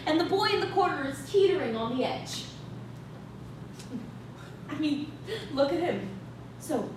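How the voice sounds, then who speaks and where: A woman playing a taunting, sarcastic estranged wife in a staged reading of a play. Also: sits high. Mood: accusing. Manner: needling, sarcastic. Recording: echoey, noisy stage recorded from far off.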